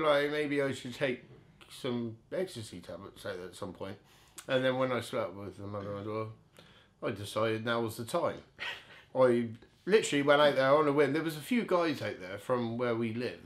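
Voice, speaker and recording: A man's voice: mid-range voice, fairly bright tone. Candid middle-aged man. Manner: candid, jokey, self-deprecating. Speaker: bodybuilder telling stories as interview guest. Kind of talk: casual anecdotal storytelling.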